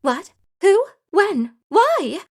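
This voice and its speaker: A high-pitched, flustered, evasive young secretary. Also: female voice, sing-song, very slow, heavily acted. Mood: defensive, rattled. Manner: dramatic, stammering, defensive. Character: evasive, flustered, sulky young female secretary under questioning. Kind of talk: theatrical video game fan dub.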